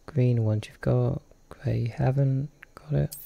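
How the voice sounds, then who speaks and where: A man's voice: mid-range voice, steady tone, a little slow, vocal fry, no room sound, muffled recording. A man with a cold giving jokey, chatty commentary while playing a game, reading the on-screen text aloud. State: has a cold. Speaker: let's play host. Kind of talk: casual gameplay commentary.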